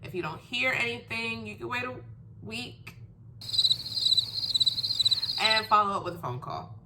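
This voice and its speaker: A woman's voice: on the high side, measured pace, heavy background noise. A congested woman with a stuffy, nasal voice from a cold, giving casual career tips in a chatty, emphatic way. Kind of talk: casual how-to advice video.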